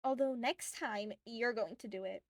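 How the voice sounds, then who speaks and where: Woman voicing a bold, bright-pitched teenage girl. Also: normal speed, dry recording, clean studio sound, close to an everyday voice. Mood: grudging. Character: bold, breezy teenage girl, confident and effortless.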